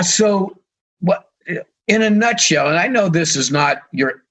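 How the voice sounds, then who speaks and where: A man's voice: medium pitch, a bit bright, husky. A man co-hosting a radio talk show, losing his voice and talking hesitantly with fillers. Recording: dull lo-fi sound.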